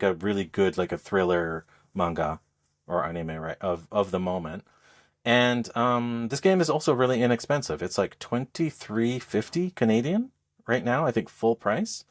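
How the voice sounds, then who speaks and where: A man hosting a podcast, giving a casual game review in a halting, conversational way with pauses mid-phrase. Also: low voice. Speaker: podcast host reviewing games.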